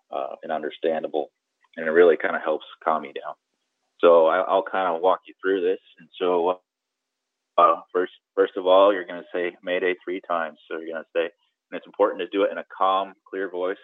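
A man's voice: deep voice, dry recording, phone-quality sound. A man giving conversational, step-by-step instruction as an expert guest, often checking in with the listener. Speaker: guest boating radio expert.

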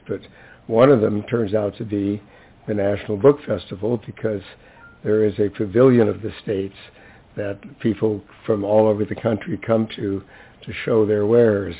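An older man with a hoarse voice gives an informal, chatty, lightly humorous introduction at a public event. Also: lowish voice, flat delivery, normal speed. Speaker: library center director introducing a speaker. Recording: thin, phone-like event recording.

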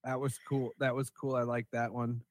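A man's voice: mid-range voice, level tone, moderate pace, no room sound. Casual male podcast host, dry close sound. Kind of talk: casual chat.

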